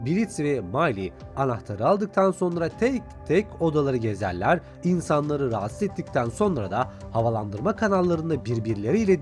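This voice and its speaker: A man's voice: on the high side, darkish tone. A man reading scripted recap and review narration as a video narrator. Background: background music.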